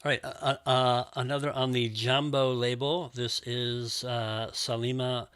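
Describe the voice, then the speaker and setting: A man's voice: medium pitch, level tone, measured pace, clean tone, no echo. A man chatting casually and enthusiastically as he unboxes old records and muses on each one. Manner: warm, musing, enthusiastic about records. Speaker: record collector and show host.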